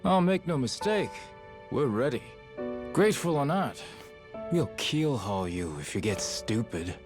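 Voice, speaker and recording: An actor playing a gruff pirate-crew tough in an English game dub, measured and terse in delivery. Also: male voice, medium pitch, sing-song, over music. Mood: menacing. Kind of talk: English-dubbed video game cutscene acting.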